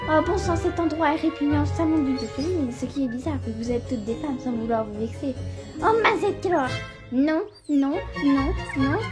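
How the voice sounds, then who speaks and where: Pint-sized cartoon demon girl: squeaky-high, friendly nonstop chatterbox, over prominent music. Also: normal speed. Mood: fussy, excited. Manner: excitable, chattering. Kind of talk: amateur French animation fan dub. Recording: music behind the voice.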